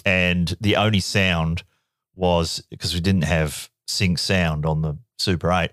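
A man co-hosting a podcast in casual chat, telling animated stories. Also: slow, dry recording, studio quality. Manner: animated storyteller, jumps up to a boyish high voice for quotes. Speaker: podcast co-host.

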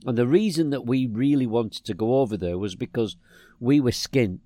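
A man's voice: mid-range voice, normal speed, warm tone, dry recording. A middle-aged man from England, co-hosting a podcast and telling a rambling story with many restarts. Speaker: podcast co-host. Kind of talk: casual chat.

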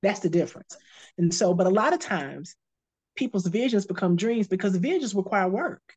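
A woman's voice: mid-range voice, expressive, normal speed, darkish tone, slightly rough, highs cut off. A woman in her fifties, a life coach, talking earnestly and encouragingly, often repeating phrases for emphasis. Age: 50s. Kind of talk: casual podcast chat.

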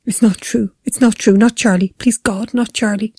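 A woman's voice: on the high side, moderate pace, mellow, slightly rough, no echo, clean studio sound, voice pushed up. A woman voicing a terrified young mother in an audiobook, gasping and pleading frantically for her child. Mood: desperate pleading. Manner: gasping, pleading, bursting out in panic. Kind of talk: audiobook character acting.

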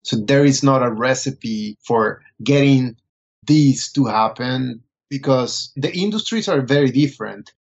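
A man from Colombia, speaking as a guest and telling his story in a relaxed, thoughtful way. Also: medium pitch, a little slow, lo-fi sound. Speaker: guest photographer. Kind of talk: casual interview answers.

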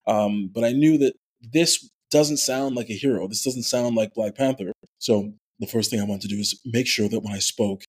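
A man's voice: on the low side, moderate pace, few pauses, husky. Chatty man recounting his acting work. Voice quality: nasal natural voice. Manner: animated storyteller, many fillers and restarts. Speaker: actor and voice actor, podcast guest. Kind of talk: casual interview chat.

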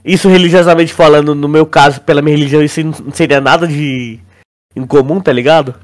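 A man's voice: on the high side, fairly even intonation, fast. A Brazilian man co-hosting a podcast in casual, slangy chat, often repeating words as he searches for phrasing. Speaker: podcast co-host. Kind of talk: casual chat, theorizing about a show.

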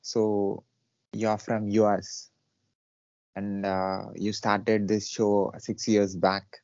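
Man, lowish pitch, very mellow tone, halting interview questions, dry lo-fi recording. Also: little pitch movement, no room sound. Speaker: interviewer, podcast and channel host.

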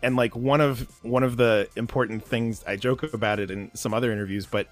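A man's voice: mid-range voice, fairly even intonation, brisk, faint music behind. A man speaking casually as an interview guest, explaining creative work with acted-out examples. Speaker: podcast guest, writer and composer.

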